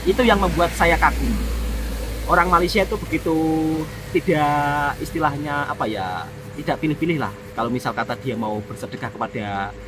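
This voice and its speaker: A man's voice: a little high, moderate pace, background noise. A man from Indonesia vlogging in a friendly, devout manner, often referring to himself by name in the third person. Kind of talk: casual vlog talk to viewers.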